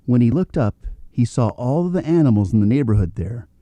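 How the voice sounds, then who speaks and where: A man narrating a children's story as a read-aloud, in a gentle, expressive storytelling manner. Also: medium pitch, fairly quick, no echo.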